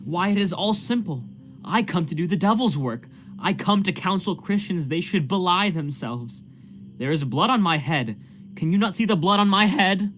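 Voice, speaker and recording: A guilt-ridden preacher of a period radio drama, played by a man, has a slightly high voice. He speaks in fervent, formal appeals, full of anguish, with music clearly playing behind. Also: expressive, moderate pace, a bit bright. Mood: anguished, bitter. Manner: fervent, formal archaic speech. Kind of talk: period stage drama acting in a radio play.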